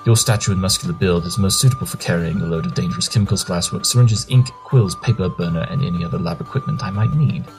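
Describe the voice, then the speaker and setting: A man's voice: low voice, music in the background. A man acting a brilliant, arrogant pony detective in an audio drama, lecturing in a pompous, condescending way. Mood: mocking. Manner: pompous, rapid-fire lecturing.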